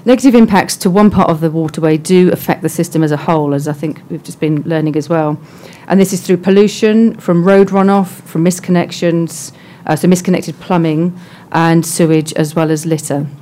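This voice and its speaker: A soft-spoken woman giving a measured conference presentation with frequent pauses. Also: on the low side. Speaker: conference speaker. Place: conference hall.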